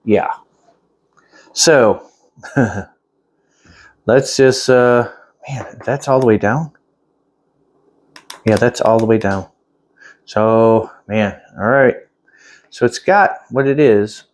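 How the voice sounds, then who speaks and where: A man with a mid-pitched voice walks through a product in a casual demo. He talks plainly and rambles a little, thinking out loud as he handles things indoors at home. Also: extremely slow, pauses often. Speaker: product reviewer. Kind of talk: casual hands-on product demonstration.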